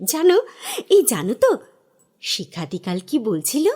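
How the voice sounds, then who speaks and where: A woman playing an affectionate, anxious young bride-to-be in a Bengali audio drama, speaking in a theatrical, expressive way. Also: high voice, a little slow, slightly rough, full character voice. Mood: playful, loving. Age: young adult. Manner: theatrical, expressive, hesitant repetitions when alarmed.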